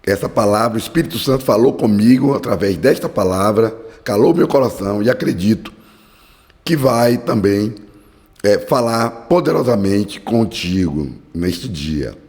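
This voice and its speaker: A hoarse man leading an earnest, fervent Bible study teaching with prayer. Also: fairly low pitch, expressive, moderate pace, a little mellow. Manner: earnest, fervent preaching cadence. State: hoarse from a cold. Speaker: Bible study podcast host. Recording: long hall reverb.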